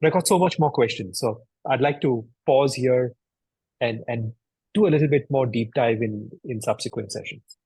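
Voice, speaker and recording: A man's voice: medium pitch, moderate pace, mellow, breathy edge, no room sound, low-quality recording. A man co-hosting a conversation, reflecting hesitantly and often repeating words as he thinks. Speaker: podcast co-host.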